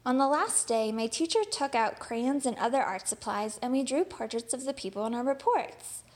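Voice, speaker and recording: A woman reading a children's picture book aloud in an expressive storytelling manner. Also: fairly high pitch, moderate pace.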